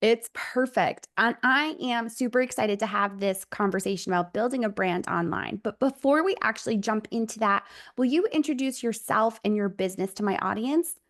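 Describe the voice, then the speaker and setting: A woman's voice: a little high, normal speed, hardly any pauses, a bit bright. A woman hosting a business podcast, friendly and affirming, who introduces her guest and asks questions. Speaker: podcast host. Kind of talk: podcast interview.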